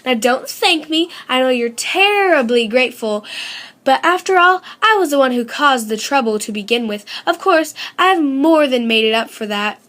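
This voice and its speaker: A woman voicing a brash, self-satisfied, chatty female intruder in a children's storybook, loud and gushing. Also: high voice, expressive, normal speed. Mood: gushing, smug. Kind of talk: children's storybook read-aloud.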